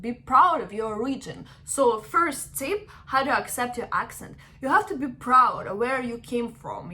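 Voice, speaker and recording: A woman's voice: on the high side, bright, forceful tone, slight background noise. A young woman from Ukraine with a Russian accent, encouraging her viewers in casual talk to the camera and often checking in with "right?". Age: young adult. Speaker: vlogger.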